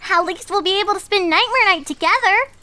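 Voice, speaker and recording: A woman voicing a gentle little unicorn pony filly who talks in a soft, coaxing way. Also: extremely high pitch, brisk, dry recording, voice pushed up. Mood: hopeful.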